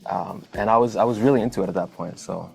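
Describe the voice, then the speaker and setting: A man from Iceland gives a casual actor interview in a deep, gravelly voice. He is laid-back and understated, with light music behind him. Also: quick pace.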